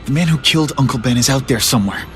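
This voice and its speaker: A man's voice: mid-range voice, rapid-fire, fairly bright tone, soft background music, lightly acted. An actor playing a young male masked hero in a game, narrating and trading wry quips. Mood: solemn. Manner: wry, quipping. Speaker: narrator and lead character.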